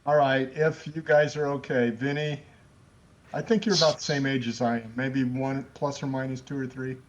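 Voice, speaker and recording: A man's voice: medium pitch, flat delivery, moderate pace, slight background noise. A man in his sixties chatting and rambling as a guest pilot in a casual group chat. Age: 60s. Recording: muffled voice chat line.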